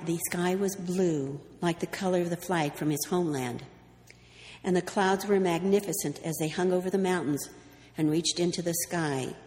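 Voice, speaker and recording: A woman whose first language is Greek gives a history talk, reading a story and then answering audience questions with many pauses and ums. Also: on the low side, a little mellow. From New Mexico, USA. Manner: hesitant, with many ums and restarts when answering. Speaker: speaker giving a history talk. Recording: echoey hall, faint background noise.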